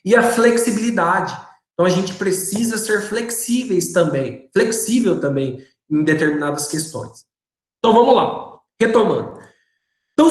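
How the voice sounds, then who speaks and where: A man with a Brazilian Portuguese accent teaching a religious education class online, speaking patiently and checking in with his students often. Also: extremely high pitch. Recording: roomy echoey room sound.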